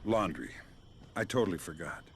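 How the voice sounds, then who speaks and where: An actor playing a dry-witted older male covert agent in a video game, speaking in a wry, deadpan manner. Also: low voice, moderate pace, a little hiss or hum. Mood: dry, unhurried.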